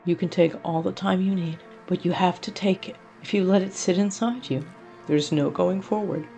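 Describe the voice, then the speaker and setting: A woman voicing a patient, tender male angel with a coaxing, gently blunt manner in a story reading. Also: lowish voice, normal speed, creaky, faint music behind. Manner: calls listener honey and darling, coaxing.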